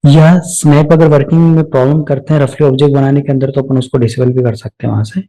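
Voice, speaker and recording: A man giving a step-by-step software tutorial off the cuff, mixing English technical terms into his speech. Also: medium pitch, steady tone, quick pace, rough recording. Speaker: software instructor.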